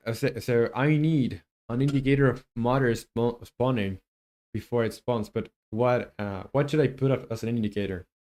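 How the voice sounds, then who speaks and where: Man, mid pitch, live coding, musing aloud, greeting viewers. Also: darkish tone. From Chile. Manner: muses aloud while coding, chats with viewers. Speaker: game developer streamer.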